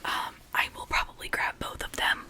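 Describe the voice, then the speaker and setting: A woman speaking in a breathy whisper, rambling informally in a chatty ASMR style. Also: normal speed. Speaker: ASMR creator showing and unboxing toys.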